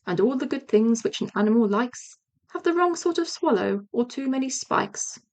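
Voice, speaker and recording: Woman narrator as toy bear, thoughtful, simple, kindly, sing-song musing, raised pitch, storybook. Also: expressive, normal speed, darkish tone. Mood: musing verse.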